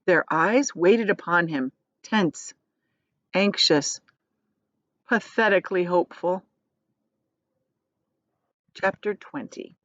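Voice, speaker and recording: A woman's voice: on the low side, slow, pauses often, dry recording, highs cut off. A woman reading a children's book aloud as a teacher would, expressively, adding explanations for her listeners.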